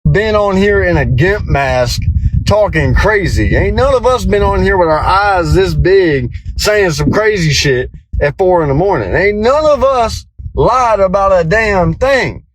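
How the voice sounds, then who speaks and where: A man's voice: high voice, expressive, measured pace, a little hiss or hum, poor audio. Drawling man, defiant and rambling. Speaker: former worker recounting his exit. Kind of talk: candid monologue in a played clip.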